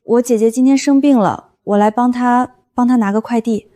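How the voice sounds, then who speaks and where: High-pitched flustered young woman, acted by a voice actress. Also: steady tone, normal speed, warm tone, natural voice. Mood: nervous, meek. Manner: stammering, theatrically panicky excuses. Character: flustered young woman office planner faking a timid twin. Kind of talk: animated short drama dialogue.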